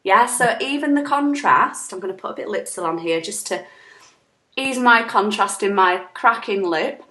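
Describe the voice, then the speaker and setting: A woman's voice: mid-range voice, lively intonation, normal speed, bright, forceful tone. A woman with a sore throat, co-hosting a casual self-help chat and talking emphatically, acting out quotes. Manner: chatty, emphatic, acts out quotes. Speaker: co-host. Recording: echoey room on an online call.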